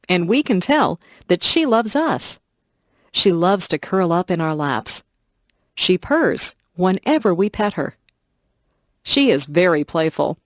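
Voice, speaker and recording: A woman narrates simple lesson passages in a slightly low voice with a lively, rising and falling pitch. Her reading is slow and clear, and the recording is dry and close, with no room sound. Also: dry recording. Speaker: English lesson reader.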